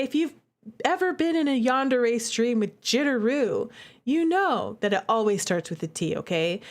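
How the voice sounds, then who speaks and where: Female game streamer, high voice, chatty and theatrical, talking straight to her audience. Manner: animated, theatrical, acts out reactions; conversational asides to chat. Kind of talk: casual livestream commentary.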